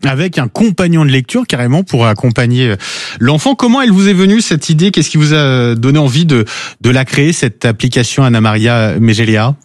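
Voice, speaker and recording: Brisk male radio morning host. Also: mid-range voice, animated, no room sound, studio quality. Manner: friendly, brisk, wraps up with announcements. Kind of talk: radio interview. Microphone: studio microphone.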